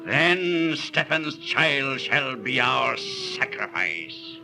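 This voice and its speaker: An actor playing a grandiose, menacing pagan cult leader, declaiming and scheming in 1930s radio drama style. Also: male voice, mid-range voice, lively intonation, extremely slow, gravelly, heavily acted. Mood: sly, scheming. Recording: noisy 1930s radio transcription recording.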